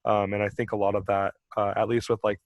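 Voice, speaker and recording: Panelist: young guy, low gravelly voice, casual, lots of "like.". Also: little pitch movement, quick pace, no echo. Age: young adult. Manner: casual, full of "like" fillers. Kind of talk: panel discussion.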